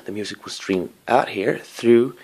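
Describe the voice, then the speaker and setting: A man with a fairly low voice talks very slowly through a casual hands-on gadget review. He rambles and slips in apologetic asides, with a playful, excitable streak. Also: husky, poor audio. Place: living room with wooden floor and rug.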